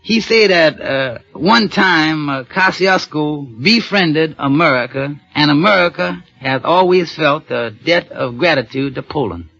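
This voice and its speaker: A man's voice: on the high side, lively intonation, unhurried, creaky, few pauses, pushed and bright, no room sound, exaggerated voice. An actor playing a patient, earnest father in 1940s radio style, speaking in a folksy, slightly hesitant way. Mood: explaining. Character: patient, gentle, earnest father, working man. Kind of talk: 1940s radio drama acting. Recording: dull old 1940s radio broadcast.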